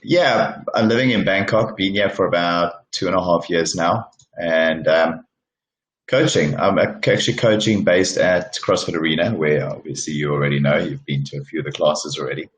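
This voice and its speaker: Man from South Africa, very deep voice, relaxed and chatty, many ums, echoey room on a video call. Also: moderate pace, dull audio. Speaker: CrossFit coach. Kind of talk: casual interview answers.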